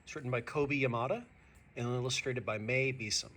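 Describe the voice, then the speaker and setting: A man who is a teacher reading a picture book aloud to young students, expressive and speaking to them directly. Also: mid-range voice, brisk, slight background noise. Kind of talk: animated children's read-aloud.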